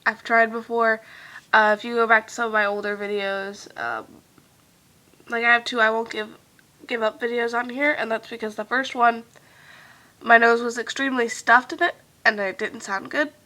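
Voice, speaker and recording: A young woman chatting casually to her viewers in a vlog, her nose stuffed and congested, rambling along with lots of fillers and trailing off softly now and then. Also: fairly high pitch, fairly even intonation, moderate pace. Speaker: vlogger.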